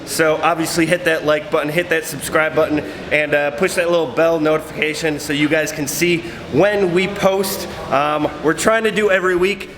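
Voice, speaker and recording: A man's voice: a little high, steady tone, moderate pace, hardly any pauses, noisy background. A young man hosting a fitness vlog, giving casual, filler-heavy, upbeat gym instruction. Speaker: fitness vlogger. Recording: roomy gym with music behind.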